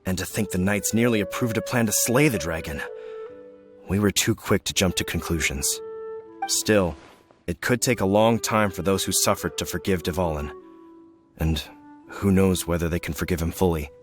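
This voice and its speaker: A man's voice: low voice, normal speed, soft background music, natural voice. A man playing a solemn, reverent townsman in a video game, speaking formally and reflectively.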